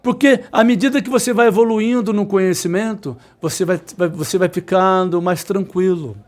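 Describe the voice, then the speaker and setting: A man in his fifties with a fairly high voice, coaching electronics students. He speaks insistently and repeats each point, slowing down to stress key words. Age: 50s. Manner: repetitive, emphatic, insistent, with sudden slow stressed words. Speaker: electronics instructor. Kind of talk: motivational talk to students.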